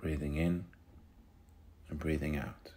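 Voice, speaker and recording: Man, extremely low pitch, meditation guide, soothing delivery, unhurried, short phrases with pauses. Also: little pitch movement, warm tone.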